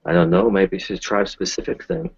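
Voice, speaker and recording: A man playing a weary, kindly doctor in a tabletop roleplay, giving measured, melancholy advice. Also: sits low, lively intonation, creaky, breathy edge, no room sound, highs cut off, full character voice. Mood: earnest. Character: weary, kindly male kinfolk doctor who lost his children. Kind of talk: tabletop roleplay, improvised in character.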